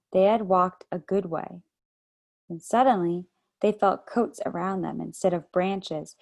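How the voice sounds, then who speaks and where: A woman hosting a children's read-aloud over a video call, narrating a book with steady, gentle storytelling. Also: lowish voice, vocal fry.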